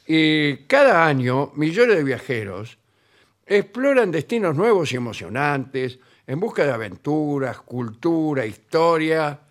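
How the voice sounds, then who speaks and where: An Argentine-accented man hosts a comedy show, reading a tourism report aloud. He breaks in with deadpan, sarcastic remarks. His voice sits a little high. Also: unhurried. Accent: Rioplatense Spanish. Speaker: comedy host.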